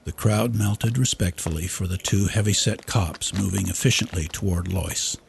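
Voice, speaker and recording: Low, gravelly male story narrator. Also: moderate pace, slight background noise. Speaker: audiobook reader. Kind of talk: scripted dramatic story narration.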